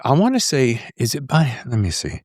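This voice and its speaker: Male podcast host from the US South: very lively pitch, dry close sound, folksy, rambling chat. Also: moderate pace, breathy edge, no room sound. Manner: animated, rambling, folksy slang. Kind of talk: casual interview chat.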